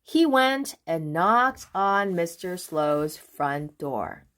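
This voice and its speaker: A woman reading a children's book aloud in an expressive narrating style, with deliberate, dramatic phrasing. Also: on the low side, heavy background noise. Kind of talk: expressive storybook read-aloud.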